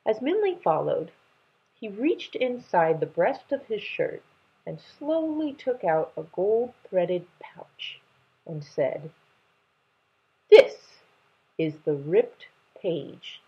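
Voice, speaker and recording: A young adult woman reads a children's novel aloud expressively, with a husky voice, indoors at home. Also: mid-range voice, big pitch swings, takes it slowly, dull audio. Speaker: read-aloud storyteller.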